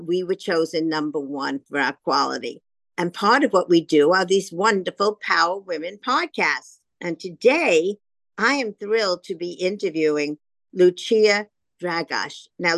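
A woman's voice: mid-range voice, a bit bright, dry recording. A woman from New hosting a podcast interview with gushing, effusive praise for her guest. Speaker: podcast host, publisher.

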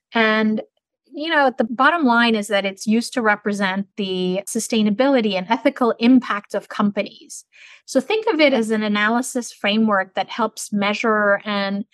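A middle-aged woman from Turkey, a business school dean, answering interview questions in a measured, articulate, explanatory way. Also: medium pitch, fairly bright tone, no echo.

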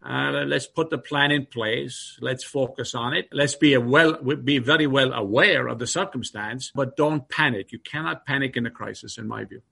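A man with a New York accent carrying traces of his Irish upbringing, answering interview questions in a measured, steady way. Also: mid-range voice, vocal fry, breathy edge. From Ireland. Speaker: guest executive.

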